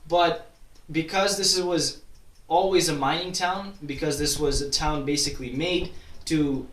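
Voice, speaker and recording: Young guy from kazakhstan rambling thru a q&a vlog, kinda high voice, lots of uhs and restarts. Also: a little slow, a bit bright. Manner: rambling, many restarts and fillers. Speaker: YouTuber. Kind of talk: casual Q&A vlog. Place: at his grandmother's town in Kazakhstan. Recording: roomy echo, distant from mic.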